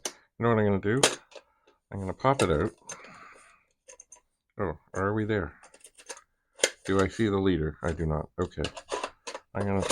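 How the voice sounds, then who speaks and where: Male hobbyist host, low register, unhurried and halting delivery, casual thinking-aloud demo. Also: background noise. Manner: halting, muttering asides to himself. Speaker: vintage computer hobbyist host.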